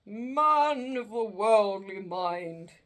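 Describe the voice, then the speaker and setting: A woman voicing a doomed, chained male ghost, speaking slowly and solemnly in a storybook reading. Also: lively intonation, fairly bright tone. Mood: mournful. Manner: slow, booming and drawn out.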